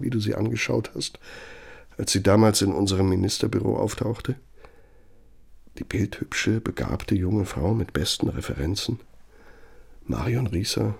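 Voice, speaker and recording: A man's voice: lowish voice, creaky, husky, heavily acted. An actor playing a bitter, sardonic older former right-hand man in a radio play, speaking with needling, threatening emphasis. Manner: sarcastic, needling, emphatic, drawn-out phrasing for menace. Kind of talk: radio play dramatic monologue.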